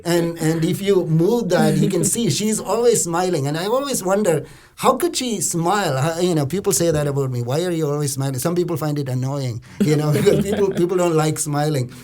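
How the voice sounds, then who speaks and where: A man's voice: on the high side, a little fast, a little mellow. A man hosting a conversational interview, talking in a discursive way with many a "you know". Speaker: host.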